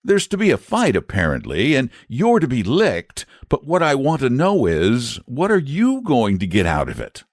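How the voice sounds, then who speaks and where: A man's voice: mid-range voice, huge pitch swings, normal speed, few pauses, no echo, studio quality, voice pushed up. A man voicing an eager young boy who speaks bluntly.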